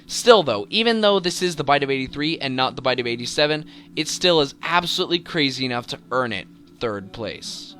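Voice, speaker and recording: A man's voice: mid-range voice, lively intonation, brisk, hardly any pauses, a bit bright, slight background noise. A man hosting a scripted top-ten video with enthusiastic, emphatic commentary. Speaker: video creator and countdown host. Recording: music behind the voice. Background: music.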